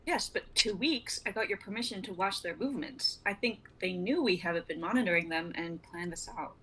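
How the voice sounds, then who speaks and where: A woman's voice: on the low side, expressive, brisk, pushed and bright, a little hiss or hum, rough recording. A woman playing an earnest, insistent tech officer in a dramatic sci-fi script reading.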